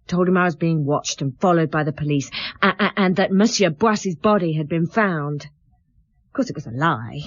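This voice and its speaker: Bitter wife in a radio play, contemptuous and defiant, dry slightly dull studio recording. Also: female voice, deep voice, normal speed, no echo. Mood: scornful. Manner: contemptuous, defiant, excitable when challenged. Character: scornful, bitter married woman confessing under police questioning. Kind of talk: radio drama acting.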